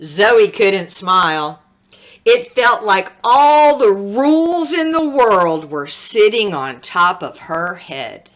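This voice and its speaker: A woman reading a picture book aloud as a children's story, with dramatic, expressive delivery. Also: mid-range voice. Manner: slow, dramatic, animated storytelling with sound words.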